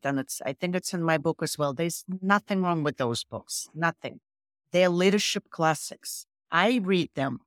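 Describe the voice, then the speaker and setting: Middle-aged woman, low voice, foreign accent, emphatic interview guest, dry sound. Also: no echo. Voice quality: low voice with a non-native accent. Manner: emphatic, leans on facts and figures. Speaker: guest author. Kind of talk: interview conversation.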